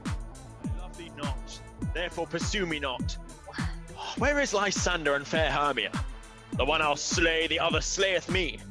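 A man's voice: fairly high pitch, expressive, measured pace, long pauses, over music. A man playing an exasperated, disdainful young lover in a Shakespearean radio play, curt and irritated. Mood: angry, scornful. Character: exasperated, scornful young male lover fleeing an admirer.

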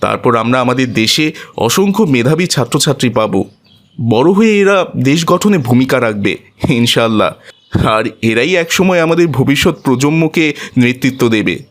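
A man's voice: medium pitch, expressive, moderate pace, close to an everyday voice. A man voicing a devout, humble young job seeker in a cartoon, courteous and earnest. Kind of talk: cartoon voice acting.

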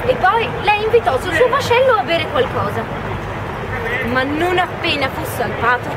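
A young woman tells a fairy tale in a very high, swooping voice with dramatic projection. She is recorded from a distance in a city square, with loud street noise all around. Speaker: storyteller. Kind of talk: live theatrical fairy tale storytelling. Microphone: camera a few metres away. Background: traffic and people outdoors.

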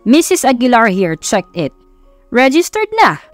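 A woman's voice: on the high side, big pitch swings, a little slow, no echo, faint music behind. A woman voicing a stern, exasperated father in an audio romance story, serious and scolding.

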